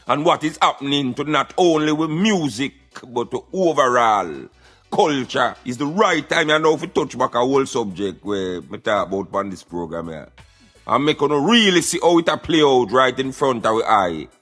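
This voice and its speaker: A Jamaican man hosts a radio show in an animated, bright and forceful voice. He talks casually in patois, peppering his monologue with affirmations, while music plays behind him. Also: mid-range voice, moderate pace. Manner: patois, repeated "yeah man" interjections.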